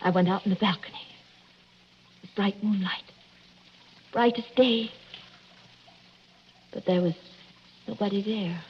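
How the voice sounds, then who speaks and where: An actress playing a frightened young bride in 1940s radio drama, hysterical, halting and breathless on a dull, noisy old recording. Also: female voice, medium pitch, steady tone, close to an everyday voice. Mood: shaken, halting. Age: young adult. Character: frightened young bride recounting a murder attempt.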